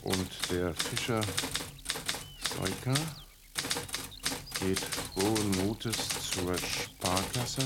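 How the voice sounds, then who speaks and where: An actor playing an author who narrates his own story in a dry, dramatic radio-play manner, with a gravelly voice. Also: low-pitched, heavy background noise, heavily acted. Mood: calm, deliberate.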